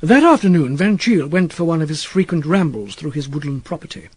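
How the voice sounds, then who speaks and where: A man reading literary fiction as an audiobook narrator, in a wry, expressive storytelling manner. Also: a little high, fairly quick, breathy edge, no echo. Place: dry studio.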